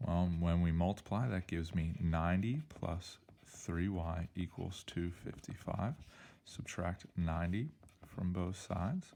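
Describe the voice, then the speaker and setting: A male math teacher, slightly hoarse, talking through an algebra problem in an upbeat, playful way with jokey exclamations. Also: low-pitched, unhurried, warm tone. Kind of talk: recorded algebra lesson walkthrough.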